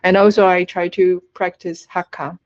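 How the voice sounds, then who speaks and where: A woman's voice: lowish voice, little pitch movement, normal speed, no room sound, dull audio. A woman from Taiwan with a Taiwanese accent, speaking English as a webinar guest, earnest and laughing often, with many fillers. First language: Taiwanese Hokkien. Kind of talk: presenting in a webinar.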